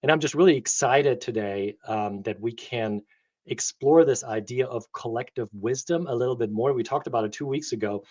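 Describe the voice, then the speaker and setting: A man's voice: mid-range voice, moderate pace, a little mellow. A man from Germany who works as a leadership coach, co-hosting an earnest, enthusiastic chat with some uh fillers. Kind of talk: casual conversational chat.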